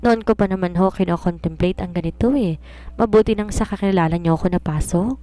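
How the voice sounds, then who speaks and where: A young Filipina housemaid from a romance storybook, voiced by a woman narrator. She is deferential and courteous, with a mellow tone. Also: fairly low pitch, brisk, no room sound, lightly acted. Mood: secretive, pleading. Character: young woman posing as polite, eager housemaid. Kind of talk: storybook character reading.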